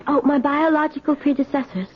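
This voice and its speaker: A woman's voice: high voice, steady tone, fairly quick, close to an everyday voice. An actress playing a brazen, slyly sinister young girl in a 1970s radio drama, bossy and taunting. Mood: smug, taunting. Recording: muffled, hissy 1970s radio recording.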